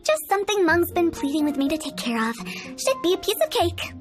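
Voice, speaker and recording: A woman's voice: extremely high pitch, very animated, brisk, over loud music. A woman voicing a mischievous young female funeral director who talks in a playful, teasing, breezy way, as in a game cutscene. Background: game score.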